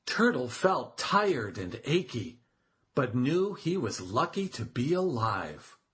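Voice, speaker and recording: A storyteller reading a children's tale aloud as expressive, deliberate narration. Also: mid-range voice, background noise.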